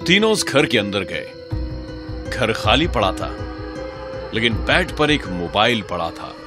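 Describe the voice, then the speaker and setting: A male storyteller narrates a horror cartoon at a middle pitch, his voice rising and falling a lot. Loud music runs under the whole narration. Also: sing-song, measured pace.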